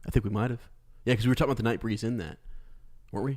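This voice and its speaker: A man's voice: fairly low pitch, steady tone, racing, darkish tone. A man co-hosting a podcast in casual chat, easygoing and agreeable, laughing along with his partner. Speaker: podcast co-host.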